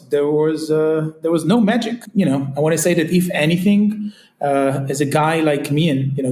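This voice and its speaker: A man's voice: a little high, darkish tone. A man with an Israeli accent, talking as a guest in a casual interview, searching for words and leaning on fillers like "kinda" and "you know". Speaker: guest, retail company CEO. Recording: echoey room sound.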